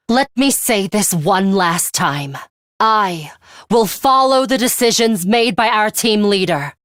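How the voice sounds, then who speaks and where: A woman voicing a cold, aloof young woman fighter in a video game, with a composed, formal, deadpan and disdainful manner and a bloodthirsty streak. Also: a little high, no echo, clean studio sound. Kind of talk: English video game voice acting.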